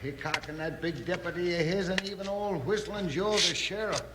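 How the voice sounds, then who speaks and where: A man's voice: high voice, brisk, close to an everyday voice. An actor playing a wary, sardonic outlaw henchman in a 1950s radio Western, tough and complaining. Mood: urgent, calling. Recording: reverberant cave effect, old noisy radio recording.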